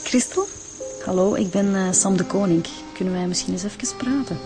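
An actress playing a direct, inquisitive police inspector with a Flemish accent in a radio drama. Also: female voice, mid-range voice, a little fast, music in the background, natural voice. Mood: gently questioning.

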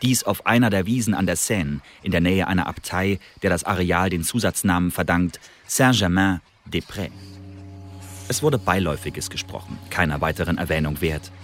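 Ein Schauspieler spielt im Hörspiel einen englischen Spion, der als Student in Paris knapp und sachlich Bericht erstattet. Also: male voice, deep voice, moderate pace, a bit bright. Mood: businesslike, confident. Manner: clipped, military report phrasing. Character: young male English spy studying in Paris, brisk, loyal. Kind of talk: radio drama, dictated report.

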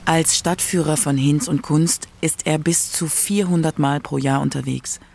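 A woman's voice: deep voice, fairly even intonation, a little slow, creaky, darkish tone, a little hiss or hum. A woman narrating a scripted radio documentary in a factual narrator's style.

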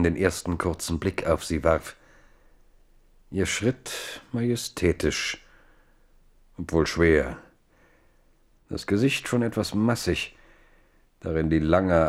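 A man narrating a historical radio play in a measured, dryly ironic literary manner. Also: low-pitched.

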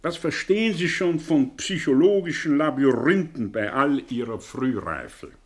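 A worn but proud professor with a husky voice and very animated intonation. He is ironic and learned, talking down in the manner of a radio play. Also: medium pitch. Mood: mocking. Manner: ironic, erudite, halting when agitated. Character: sardonic, condescending older male professor, worn and proud.

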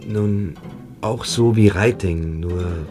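An actor playing a submissive, evasive boarding-school pupil in a German radio play, hesitant and coquettish. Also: male voice, low voice, slow, mellow, faint music behind. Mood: hesitant, confiding.

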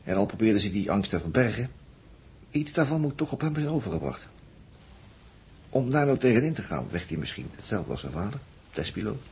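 A man's voice: on the low side, sing-song, a little fast, long pauses, a little mellow. A male actor playing a reflective spaceship crewman in a 1960s radio drama, musing and searching for words with questioning tags. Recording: noisy vintage 1960s radio recording.

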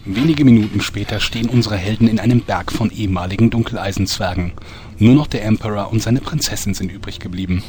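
A man's voice: on the low side, fast talker, a little mellow, close to an everyday voice. A man playing a theatrical, mock-suspenseful storyteller narrating a scripted parody soap. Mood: storytelling. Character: storytelling narrator, a mock-dramatic fairy-tale uncle.

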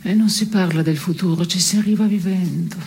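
An actress playing a blunt, disenchanted daughter in an absurdist radio stage play, with terse, deadpan delivery. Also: female voice, fairly low pitch, a little fast, creaky, slight background noise. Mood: wry. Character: blunt, disenchanted daughter recounting family indignities.

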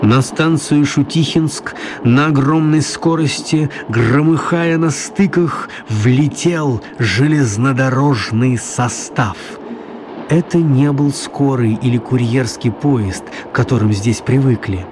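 A man narrating a children's radio story in an expressive, mock-grand dramatic style. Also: medium pitch, takes it slowly, close to an everyday voice. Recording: clear background noise and ambience.

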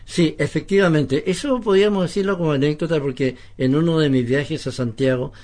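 A man's voice: fairly high pitch, a little fast, darkish tone. A man with a Chilean accent hosting radio, talking conversationally and courteously. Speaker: radio host and interviewer. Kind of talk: conversational anecdote and interviewing.

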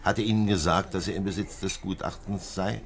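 An actor playing a calm, dogged middle-aged French police commissioner in a 1950s German radio drama, questioning in a measured, terse way. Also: male voice, deep voice. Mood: dry, firm. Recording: noisy vintage 1950s radio recording.

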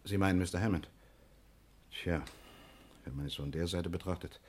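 Deep husky voice, well-mannered pharmacy owner, earnest, sixties radio crime drama. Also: male voice, moderate pace. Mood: troubled, earnest. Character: courteous male pharmacy owner, shaken but protesting. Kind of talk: 1960s German radio crime drama acting.

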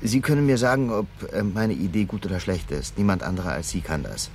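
An actor playing a smooth, calculating company executive in a 1970s German radio crime drama, talking in a measured, persuasive way. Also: male voice, low-pitched, animated, a little mellow, slight background noise. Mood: insinuating. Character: smooth, calculating male company vice president, tempter.

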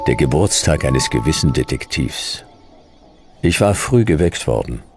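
Hardboiled detective, fifty, bottomless gravelly register, laconic and jaded, radio noir narration over music. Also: male voice, rumbling low, lively intonation, unhurried, full character voice. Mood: bleak, weary. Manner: laconic, dry, clipped. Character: world-weary fifty-year-old private detective, hardboiled and sardonic. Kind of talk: first-person noir radio drama narration.

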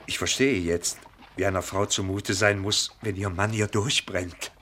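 An actor playing an amused, commanding gentleman who owns an ironworks, in a radio play. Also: low voice, fairly even intonation, unhurried, a little hiss or hum. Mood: tender, subdued.

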